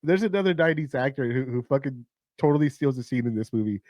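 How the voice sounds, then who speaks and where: A man hosting a movie countdown, his voice raspy and tired, rambling casually with lots of swearing, fillers and restarts. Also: medium pitch, expressive, quick pace, a little mellow, no echo. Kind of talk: casual rambling film talk.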